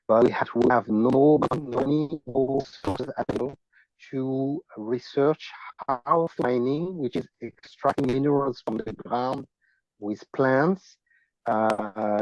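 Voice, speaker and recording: Halting French-accented man on a call. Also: mid-range voice, darkish tone, dry recording, lo-fi sound. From France. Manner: halting, searching for words. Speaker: meeting participant. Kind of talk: self-introduction on a video call. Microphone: video call.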